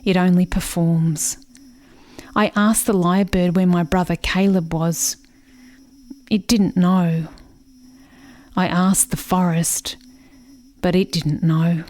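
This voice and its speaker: An actress playing a grieving, haunted settler woman in a lyrical radio play monologue, deliberate and brooding. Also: lowish voice, little pitch movement, darkish tone.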